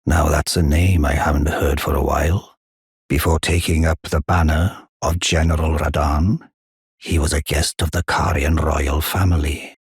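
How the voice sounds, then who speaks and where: Very deep, husky old-world giant counselor. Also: male voice, little pitch movement, no room sound, studio quality, full character voice. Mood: reflective, solemn. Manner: courteous, solemn, measured, formal. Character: gentle, wise giant troll blacksmith and war counselor. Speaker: video game character. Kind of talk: scripted fantasy game dialogue.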